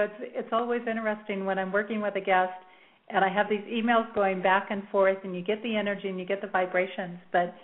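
A woman hosting an interview show, chatty and friendly, with restarts as she talks to her guest. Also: mid-range voice. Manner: warm, chatty, with small laughs and restarts. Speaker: radio show host. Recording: tinny phone-like line.

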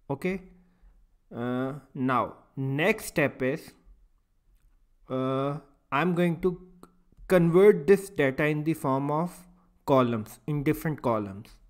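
Male screencast tutor, slightly high voice, very unhurried, halting delivery that walks through each step in small pieces. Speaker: software tutorial instructor. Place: voice-over for a screen recording.

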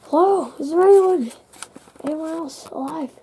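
A woman play-acting frightened toy film-crew survivors fleeing a monster in amateur found-footage horror, with breathless exclamations and hushed stammering. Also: extremely high pitch, moderate pace. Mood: distressed, frantic. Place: outdoors on a covered paved patio. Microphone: handheld camera microphone. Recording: faint noise, handheld camera audio.

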